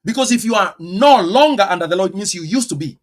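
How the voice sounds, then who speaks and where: A man with a Kenyan accent preaching a teaching sermon, stressing words and firing rhetorical questions, with sudden loud bursts and quiet asides. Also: high-pitched, lively intonation, quick pace. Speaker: pastor.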